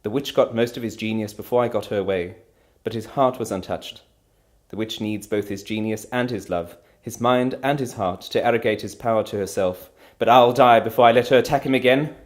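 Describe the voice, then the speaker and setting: A man's voice: fairly low pitch, a little fast, voice pushed up, close to an everyday voice. Man voicing an impassioned, proud, anguished wife. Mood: fierce. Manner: impassioned, emphatic, trembling with feeling. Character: proud, anguished, defiant wife of a cursed inventor. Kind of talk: dramatic storybook character reading.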